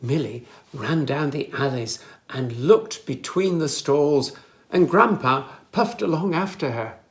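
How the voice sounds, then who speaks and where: Storyteller for young children: unhurried, very animated read-aloud, careful expressive phrasing, a touch of gravel. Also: medium pitch.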